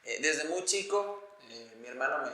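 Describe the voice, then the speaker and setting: A man's voice: on the high side, lively intonation, a little slow, sharp tone, echoey room, a little hiss or hum. An Ecuadorian man speaking as an interview guest, explaining his views and experiences in an earnest, articulate, conversational way. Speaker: interview guest, sports journalist.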